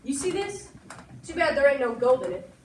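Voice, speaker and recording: A woman's voice: sits high, big pitch swings, normal speed, darkish tone. A young woman playing a stubborn, hopeful ranch woman in an amateur Western stage play. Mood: determined. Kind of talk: projected amateur stage acting. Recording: echoey room from far off, noisy.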